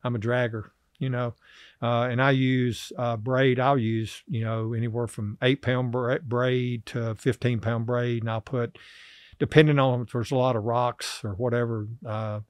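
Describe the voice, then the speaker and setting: A middle-aged man, a podcast guest talking casually and haltingly about fishing, full of fillers and restarts. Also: mid-range voice, level tone, no echo, clean studio sound. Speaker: podcast guest, river angler. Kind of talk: casual interview chat. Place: small office studio, indoors. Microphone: desk podcast mic on boom arm.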